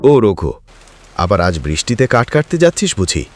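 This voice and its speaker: A man's voice: on the low side, very animated, moderate pace. A man voicing a familiar, fatherly older village uncle in a cartoon, cautioning with concern. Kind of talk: cartoon voice acting.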